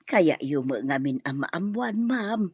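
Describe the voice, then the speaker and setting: Radio drama housemaid, deferential yet grumbling, husky, dull dry recording. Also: female voice, low voice, animated, a little slow, no room sound, exaggerated voice. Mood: sheepish, grumbling. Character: meek but back-talking household maid.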